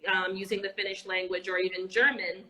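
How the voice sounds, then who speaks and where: A woman with a bright, clear voice gives an enthusiastic interview as an educator. She talks casually over a video call, often pausing on "um" and restarting her sentences. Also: mid-range voice, little pitch movement, rough recording. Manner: enthusiastic, frequent "um" fillers and restarts. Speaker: guest, educator and entrepreneur. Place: Anguilla.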